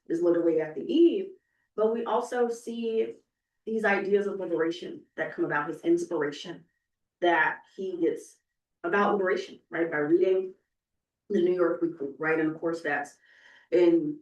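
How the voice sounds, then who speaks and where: A woman's voice: on the low side, a little mellow. A woman giving explanatory commentary as a presenter, often checking in with her listeners as she goes. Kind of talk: explanatory public talk. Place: live event in a reverberant room. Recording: echoey room, far from the mic.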